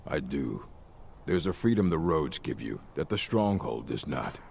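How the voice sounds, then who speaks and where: An actor playing a gruff orc warrior in a video game, proud and dutiful, with a deep, gravelly voice and a blunt, formal way of speaking. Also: male voice, sing-song, a little slow, darkish tone, a little hiss or hum, full character voice. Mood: reflective. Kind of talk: video game character dialogue. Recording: game music playing behind.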